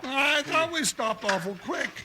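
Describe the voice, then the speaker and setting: Casting: loyal, folksy American cowboy sidekick, very high-pitched, excitable drawl, old crackly radio western. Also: male voice, measured pace, exaggerated voice. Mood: flustered, amazed. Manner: drawling rustic talk, whooping exclamations. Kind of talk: 1950s radio western acting. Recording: noisy, crackly 1950s radio recording.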